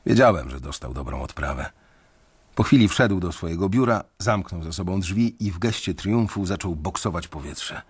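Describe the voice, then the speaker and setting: An actor narrating in first person as an anxious, sardonic bank employee facing layoff, in a measured, dry radio drama delivery. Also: male voice, sits low, animated, husky, exaggerated voice. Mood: somber. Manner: measured, dry, wry storytelling.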